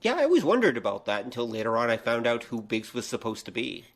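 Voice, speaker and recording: A man's voice: medium pitch, wildly swooping pitch, fast, a bit bright. A middle-aged man co-hosting a podcast, chatting casually as he asks questions and comments. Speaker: podcast co-host. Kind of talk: casual conversation, asking and commenting.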